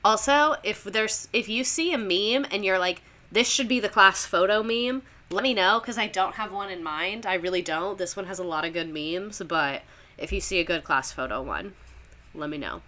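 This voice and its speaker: A woman's voice: medium pitch, moderate pace, sharp tone. A young woman teacher with a Southern US accent, giving a rambling, chatty history lesson on a livestream, full of fillers and laughs.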